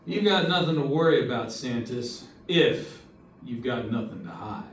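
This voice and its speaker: A man playing a hard-nosed, wry private investigator in an old-time radio drama, speaking in a measured, needling deadpan. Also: medium pitch, natural voice. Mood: needling, cool. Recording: distant, echoey stage with background noise.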